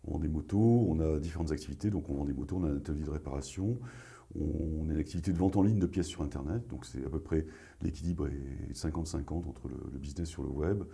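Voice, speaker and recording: Deep-voiced older man with a cold. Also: fast talker. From France. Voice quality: very deep, husky voice. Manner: relaxed, plain, hesitant telling with many fillers. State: has a cold. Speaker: motorcycle business owner, interview guest. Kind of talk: casual interview talk.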